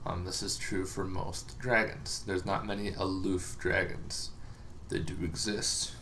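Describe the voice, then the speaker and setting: A man giving a casual, unscripted explainer as a host, halting and rambling with long pauses, over some background noise. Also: low-pitched, level tone, pushed and bright. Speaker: host of a tabletop gaming lore series.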